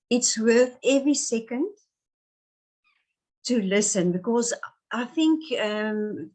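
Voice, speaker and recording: A woman's voice: medium pitch, a little mellow, rough recording. A woman in her fifties with an Afrikaans accent, a native Afrikaans speaker, talking earnestly as an interview guest, with many restarts and fillers. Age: 50s. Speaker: guest, therapist. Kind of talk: casual interview chat.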